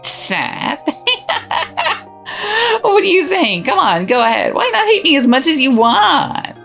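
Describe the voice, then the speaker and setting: A woman's voice: sits high, big pitch swings, moderate pace, creaky, fairly bright tone, full character voice. A woman voicing a cruel, cackling witch who mocks and taunts, with faint music behind. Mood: mocking. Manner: mocking, taunting, frequent cackling laughter. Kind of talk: visual novel voice acting.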